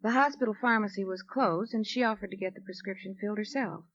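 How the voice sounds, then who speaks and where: An actress playing a polite, slightly flustered hospital nurse, hesitant and deferential, in a 1950s radio drama. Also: female voice, mid-range voice, fast talker, a bit bright, dry recording, lightly acted. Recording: dull lo-fi 1950s radio recording.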